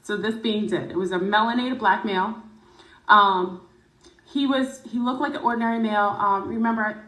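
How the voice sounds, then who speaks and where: A woman's voice: medium pitch, normal speed, a bit bright, reverberant, low-quality recording. A woman whose first language is French, telling a personal story hesitantly, with many fillers and restarts. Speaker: guest in a played clip. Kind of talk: casual storytelling.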